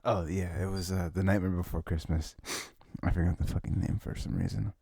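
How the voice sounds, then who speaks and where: Male, very low and gravelly, for intimate ASMR rambling; halting, informal, sweary delivery. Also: fairly even intonation, mellow, no echo, studio quality. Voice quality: low, husky, creaky voice. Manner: sniffles habitually. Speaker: ASMR audio performer. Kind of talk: intimate rambling ASMR chat, reminiscing to a listener.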